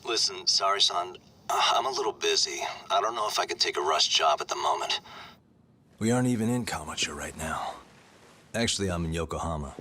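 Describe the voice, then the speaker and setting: An actor playing a calm, wry private detective in his thirties, explaining things in a measured, casual way in a game drama dub. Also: deep voice, a little hiss or hum. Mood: casual, matter-of-fact.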